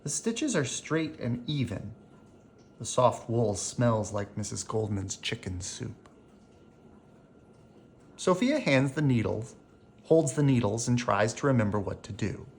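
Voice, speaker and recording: A storyteller reading a children's picture book aloud in a deliberate, animated way, as for bedtime. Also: medium pitch, slight background noise.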